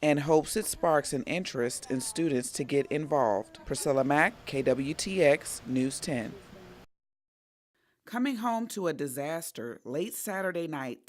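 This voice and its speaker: A woman's voice: sits low, expressive, a little slow, no room sound. A woman working as a local television reporter, reading scripted news in a broadcast reporting style.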